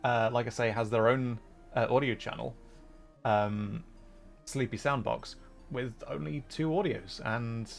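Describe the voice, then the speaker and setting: A man with a medium-pitched voice chats casually as he hosts a game livestream. He rambles thoughtfully, pausing and feeling for words. Music plays behind him. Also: creaky. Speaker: livestream host. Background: background music.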